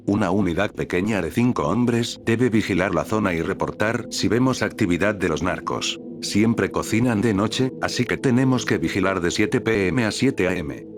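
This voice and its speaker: A man reading horror stories aloud as a narrator, in a steady, measured storytelling manner. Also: low-pitched. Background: background music.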